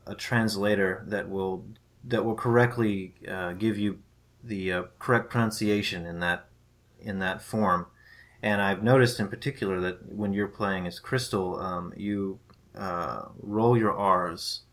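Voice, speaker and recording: Low-voiced hesitant male interviewer. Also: a bit bright. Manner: hesitant, many "uh" pauses. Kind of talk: interview questions.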